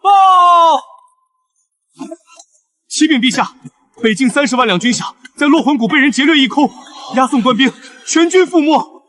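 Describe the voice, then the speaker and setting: An actor playing an alarmed military messenger at an imperial court, declaiming urgently in an animated costume drama. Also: male voice, very high-pitched, normal speed, pauses often, full character voice.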